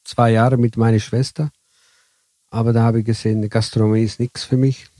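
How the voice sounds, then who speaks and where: A man from southern Italy speaking German with an Italian accent, telling his story haltingly as an interview guest. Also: medium pitch, flat delivery, warm tone, dry recording. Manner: halting, broken German with many fillers. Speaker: guest, bus driver. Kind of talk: casual interview chat.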